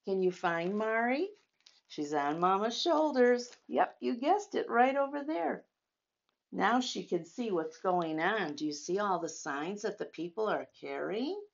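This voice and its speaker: A woman's voice: medium pitch, animated, measured pace, vocal fry, lo-fi sound. A woman reading a story aloud to young children, addressing them directly with questions and emphatic phrasing. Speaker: children's storytime host at a church.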